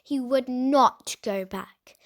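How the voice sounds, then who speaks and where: High-voiced woman reading a children's story. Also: slow. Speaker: storybook reader. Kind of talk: children's novel read aloud.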